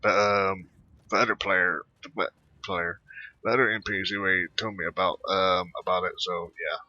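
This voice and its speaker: A man giving casual game commentary and reading dialogue aloud in a halting, rambling way. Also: low voice, fairly even intonation, bright, forceful tone, dry recording, highs cut off. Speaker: gaming video host.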